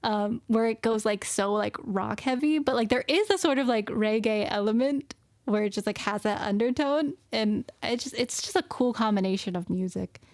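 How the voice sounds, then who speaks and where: A woman's voice: fairly high pitch, moderate pace, a little mellow, no room sound. Giggly young woman, dry close sound. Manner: chatty, giggly, affectionate agreement. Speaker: co-host reviewing music. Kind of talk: casual chat.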